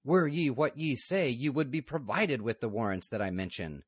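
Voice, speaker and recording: A man's voice: mid-range voice, expressive, moderate pace, slightly rough, dry recording, clean studio sound, voice pushed up. A man voicing a stern, dignified older abbess of a convent, firm and solemn, in a raised voice, with no room sound.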